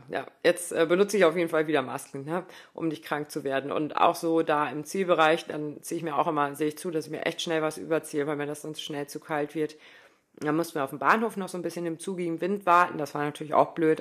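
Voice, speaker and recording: A woman in her thirties talking casually in a spoken diary, rambling with lots of fillers and asides. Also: sits low. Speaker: running podcaster.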